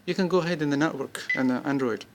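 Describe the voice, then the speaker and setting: A man giving an informal, unscripted product demonstration, speaking haltingly in short pieced-together bursts. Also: fairly high pitch, background noise. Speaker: app demonstrator.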